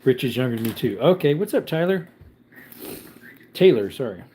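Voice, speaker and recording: A man in his thirties chats loosely on a home livestream, wandering from one remark to the next as he reads out comments. His voice sits at an ordinary middle pitch. A faint hiss stays under the recording. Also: very animated, moderate pace, mellow. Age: 30s. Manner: rambling, chatty, reads out viewer comments; high baby-talk when calling his dog. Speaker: livestream host. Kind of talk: casual livestream chat.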